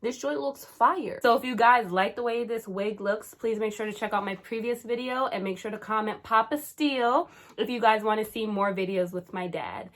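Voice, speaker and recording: A woman's voice: on the high side, normal speed, a bit bright. A woman in her twenties from the Caribbean and New Jersey, chatting casually and playfully to her viewers with lots of slang. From Caribbean, raised in New Jersey. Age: 20s. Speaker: YouTuber. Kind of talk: casual vlog chat.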